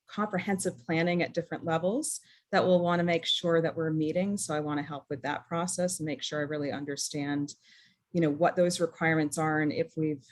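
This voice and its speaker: A woman from New England with an American accent and a slightly low voice speaks in an informal meeting presentation. She is hesitant and thinks aloud, softening her points as she goes. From New England, USA. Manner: hesitant, thinking aloud, hedging with 'sort of' and 'you know'.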